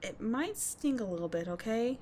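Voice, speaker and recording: A woman's voice: on the high side, lively intonation, moderate pace, warm tone, a little hiss or hum, natural voice. A woman playing a tough but caring teenage delinquent schoolgirl in an ASMR roleplay, speaking in a slangy, blunt yet soothing way. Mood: brisk, teasing. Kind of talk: ASMR roleplay audio drama.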